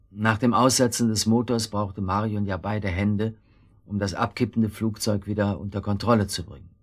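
Low, husky, weary guilty man. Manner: halting, heavy-tongued, weary. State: groggy, hungover after drink and pills. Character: guilt-ridden, cowardly man dictating a murder confession. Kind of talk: German radio drama acting.

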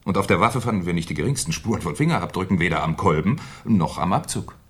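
An actor playing a shrewd, sardonic Paris police inspector in a 1970s German radio crime play, deliberate and mock-courteous. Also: male voice, low voice, expressive, husky. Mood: sardonic lecturing.

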